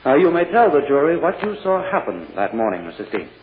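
A self-satisfied prosecutor in an old radio courtroom drama. His questioning is insistent and courtly, full of halting pauses, with a wide-swinging intonation. The sound is dull and slightly noisy. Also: on the high side, big pitch swings. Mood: probing, controlled. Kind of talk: 1940s radio drama acting.

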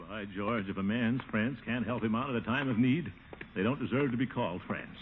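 An actor playing a pompous, blustering, scheming middle-aged American uncle in a 1950s radio comedy, exclaiming and wheedling. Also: male voice, medium pitch, a little fast, faint music behind, natural voice. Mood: grumbling. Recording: tinny, noisy 1950 radio recording.

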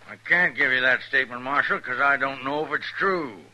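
An actor playing a hard, dismissive American cavalry colonel in a 1950s radio western, speaking in a curt, cold, official manner. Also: male voice, medium pitch, a little fast, pushed and bright. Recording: dull old radio recording, faint noise.

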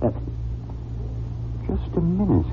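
An actor playing a flustered, scheming book collector in a 1940s radio drama, stammering, formal and defensive. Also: male voice, sits high, sing-song, slow, heavily acted. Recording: noisy, tinny 1940s radio recording.

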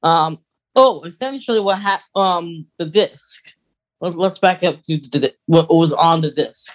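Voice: mid-range voice, measured pace. A podcast co-host chatting casually and informally, recapping in a conversational way. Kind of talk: casual chat.

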